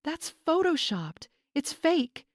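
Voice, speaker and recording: A scheming young bride from a melodramatic story, acted by a woman. Her voice jumps high and forceful. She is spiteful and defiant, recorded dry. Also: sing-song, takes it slowly, dry recording, heavily acted. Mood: panicked, pleading.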